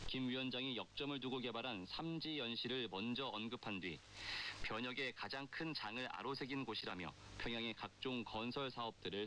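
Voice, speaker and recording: A man reads scripted radio news in a formal, measured way, as an announcer. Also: mid-range voice, sharp tone. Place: radio broadcast received over shortwave. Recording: noisy, hissy shortwave radio broadcast. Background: clear static hiss.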